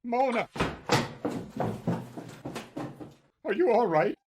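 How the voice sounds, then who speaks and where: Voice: mid-range voice, big pitch swings, a little fast, a little mellow, a little hiss or hum, natural voice. An actor playing a flustered, evasive and passionate male painter in a comic radio play, theatrical and hesitant. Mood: agitated, rapturous.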